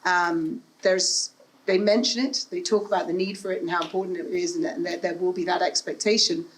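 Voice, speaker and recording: A woman speaking on a panel at an event, earnest and measured, with many fillers, into a handheld mic. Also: fairly low pitch, steady tone, a little hiss or hum. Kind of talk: panel discussion. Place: event room with an audience. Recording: echoey room with faint ambience.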